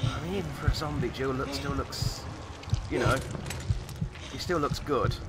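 A man with a slightly croaky voice giving casual, rambling commentary as he plays a game. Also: medium pitch, normal speed, heavy background noise. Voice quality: slightly croaky, recovering from voice loss. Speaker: gamer, host of a let's play.

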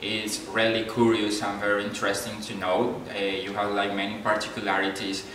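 Young Colombian student, low flat voice, hesitant, far mic. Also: male voice, fairly quick. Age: 20s. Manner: hesitant, many "eh" fillers. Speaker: exchange student. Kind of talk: introduction to camera. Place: office with flags and trophies, indoors. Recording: very echoey room, distant mic, noisy.

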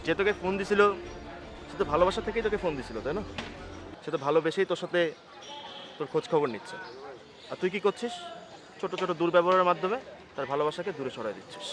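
A man's voice: high-pitched, expressive, fast talker, pauses often. A young man acting an earnest, reassuring poor young friend and son in a short-film drama. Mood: earnest, chiding.

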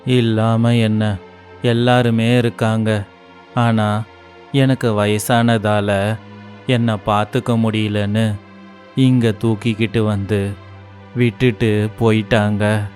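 A slow tired old man who's secretly a demon, lowish voice, soft music under it. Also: flat delivery. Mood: self-pitying. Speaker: voice actor. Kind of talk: animated storybook dialogue.

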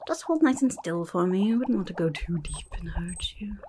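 A woman's voice: on the low side, animated, normal speed, a little mellow, a little hiss or hum, natural voice. A young woman playing a rude, chaotic goblin nurse in a whispered ASMR roleplay, fussy and blunt, repeating words close to the microphone. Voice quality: breathy whisper.

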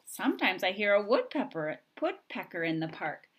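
A woman reads a picture book aloud for young children. Her pitch is average for a woman, and she phrases each sentence simply and deliberately. The delivery is expressive storytelling, ready to act out the sounds in the story. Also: heavy background noise. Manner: expressive, acting out sound effects. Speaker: storybook reader.

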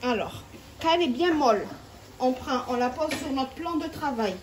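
A woman's voice: high-pitched, lively intonation, normal speed, slight background noise, rough recording. A woman hosting a home cooking video, chatting step by step and talking straight to her viewers. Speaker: cooking channel host. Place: home kitchen.

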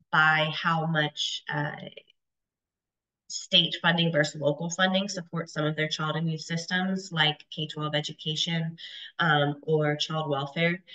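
A woman in her thirties presenting charts on a video call, stuffy from a cold, with halting speech full of ums and restarts. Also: low voice, bright, forceful tone. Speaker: webinar presenter. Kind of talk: explaining data charts in a webinar. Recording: dull lo-fi video call audio.

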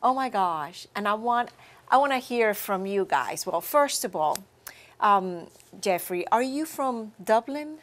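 A middle-aged woman hosting a friendly TV interview in a studio living-room set, unhurried, repeating words and laughing often. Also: mid-range voice, lively intonation, a bit bright. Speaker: TV interview host.

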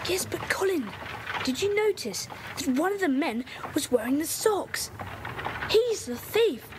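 A woman's voice: very high-pitched, very animated, heavily acted. A woman voicing Peter, a bold, decisive boy leading his friends in an audio drama, on noisy tape. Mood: eager. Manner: brisk, decisive, eager.

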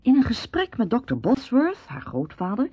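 A woman narrating a radio drama from a script, with a husky, mellow voice. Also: on the high side, huge pitch swings, normal speed, no echo. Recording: dull radio recording, roomless and dry.

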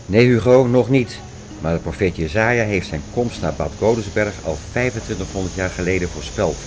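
A man's voice: deep voice, fairly quick, background noise, natural voice. An actor playing a fervent man expounding apocalyptic theories in a radio play, insistent, didactic and declamatory. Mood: excited, insistent. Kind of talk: radio play dialogue, insistent lecturing and reciting.

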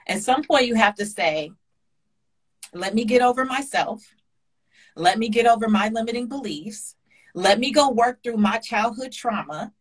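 Woman guest, fairly high voice, fervent and preachy, webcam call from home. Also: fairly bright tone, low-quality recording. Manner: passionate, preachy, repetitive emphasis. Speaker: guest speaker. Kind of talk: casual video-call interview. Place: home kitchen indoors. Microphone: webcam on a video call.

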